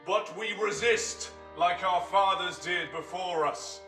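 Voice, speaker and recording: A man playing a proud, defiant high elf warrior giving a noble, solemn fantasy oration. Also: slow, bright, forceful tone, heavily acted. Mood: solemn, resolute. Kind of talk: dramatic fantasy speech. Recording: roomy, distant voice over music.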